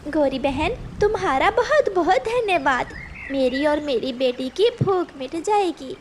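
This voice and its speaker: A woman's voice: high-pitched, lively intonation, a little slow, a little hiss or hum, voice pushed up. A woman voicing a caring, earnest mother bird in a children's cartoon, tender and plaintive. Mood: grateful. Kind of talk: Hindi children's cartoon story.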